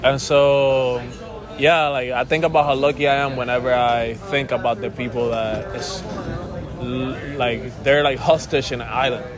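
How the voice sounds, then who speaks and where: A man's voice: medium pitch, fairly even intonation, unhurried, crowd noise. A young man born and raised in Cuba, a musician talking casually as a guest, full of restarts and calling the host bro. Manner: casual, says bro a lot, rambling restarts. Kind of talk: casual interview chat.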